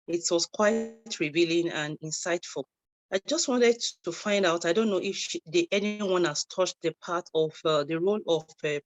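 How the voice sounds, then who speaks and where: A woman's voice: on the low side, no echo. A woman in the audience of an academic session who asks a question over a video call, politely and with frequent hesitations. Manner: polite, hesitant, many fillers. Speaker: audience member asking a question.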